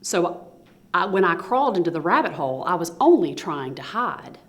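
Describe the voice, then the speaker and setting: A woman's voice: lowish voice, very animated, normal speed. A woman voicing a male python in a storybook, an aggrieved snake defending himself. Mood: defensive protest. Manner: protesting, self-justifying.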